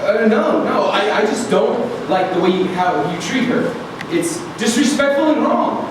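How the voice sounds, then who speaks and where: A man's voice: sits high, lively intonation, a little slow. A man playing a defiant, indignant teenage boy, earnest and sardonic, in a staged script reading. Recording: noisy, echoey room from far off.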